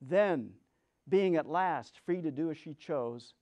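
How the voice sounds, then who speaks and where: A man giving a dramatic storybook reading as a storyteller, with theatrical, expressive emphasis. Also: fairly high pitch, takes it slowly, lo-fi sound.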